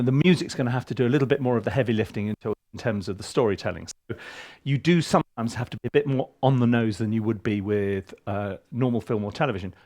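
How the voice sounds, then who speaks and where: Casual, playful man giving a tutorial. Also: mid-range voice. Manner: rambling, playful, animated delivery. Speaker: film composer and music tutor.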